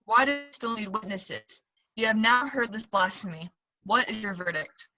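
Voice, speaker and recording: A stern high priest who questions and condemns, read out by a woman. The tone is measured and declaiming, with a bright, ringing edge. The reading is set in a church. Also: natural voice, rough recording. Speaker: reader of a part. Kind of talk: liturgical dramatic reading.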